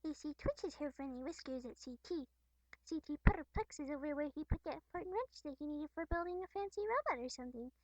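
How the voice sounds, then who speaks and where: Very high-pitched playful cat-girl troll. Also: brisk, no echo, poor audio. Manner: animated, childlike, teasing. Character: playful, catlike teenage troll girl, excitable and stubborn.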